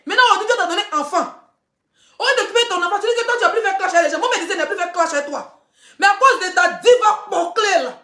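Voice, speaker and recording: A woman's voice: very high-pitched, rapid-fire, pushed and bright, echoey room. A woman, hosting a rambling gossip live stream and often laughing mid-sentence. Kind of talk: casual live-stream commentary.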